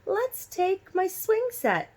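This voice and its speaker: Lady doing little storybook sister, whiny n bossy, loud drawn out complaining. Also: very high voice, huge pitch swings, slow, voice pushed up, full character voice.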